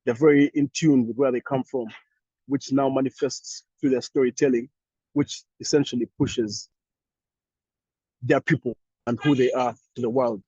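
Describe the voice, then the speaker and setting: A young Ugandan man speaking English with a Ugandan accent, answering thoughtfully as a panelist in an online discussion, with many pauses and restarts. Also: medium pitch, fairly even intonation, mellow, husky, dry recording, dull audio.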